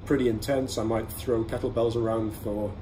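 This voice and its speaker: A man with a mid-range voice presents a casual product review as a podcast host. He explains things conversationally, in short measured phrases. Clear ambient noise runs behind his voice. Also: mid-range voice, fairly even intonation, dark tone.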